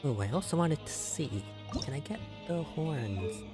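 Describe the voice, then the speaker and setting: A game streamer gives casual live commentary in a mid-pitched voice. The talk is slow and comes in short, self-directed fragments. Loud music runs behind it. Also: lively intonation.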